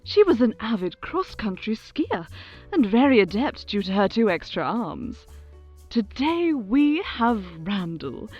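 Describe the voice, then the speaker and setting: A woman's voice: a little high, darkish tone, lo-fi sound. A woman playing a regal, self-adoring overlord who hosts her own broadcast in a theatrical, condescending, grandly gracious way in an audio drama. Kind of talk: audio drama acting as a radio show host.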